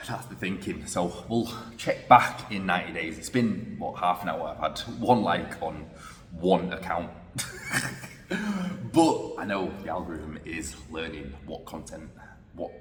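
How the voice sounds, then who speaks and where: A man's voice: low voice, a little hiss or hum. A man vlogging casually, reflective and thinking aloud with restarts, recorded on a phone. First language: English. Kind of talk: casual vlog talking to camera. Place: roomy space in Hanoi. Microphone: phone camera. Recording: echoey room with long reverb.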